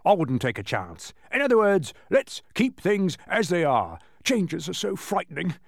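A man's voice: high-pitched, very animated, a little slow, gravelly, no room sound, studio quality, pitched above the performer's own voice, exaggerated voice. A man voicing a huge, gelatinous storybook demon who is cowardly and anxious, hedging fretfully and peevishly. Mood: worried, reluctant.

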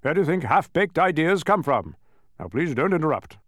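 Man acting pompous, irritable king, animated. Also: a little high, no echo, studio quality, pitched above the performer's own voice. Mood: angry, imperious. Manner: imperious, emphatic, deliberate. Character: pompous, irritable king with grand commanding airs.

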